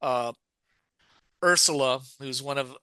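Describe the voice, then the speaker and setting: A man's voice: medium pitch, slow pace, pushed and bright, clear, resonant tone, dry recording. A man co-hosting a podcast, chatting casually and rambling with lots of fillers and self-corrections. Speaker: podcast co-host. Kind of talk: casual chat about a TV show.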